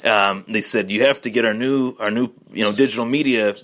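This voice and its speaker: A man hosts a casual sports podcast interview with a bright, clear tone. He talks at length, often circling back and restarting his words. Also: lowish voice, brisk. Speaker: podcast host.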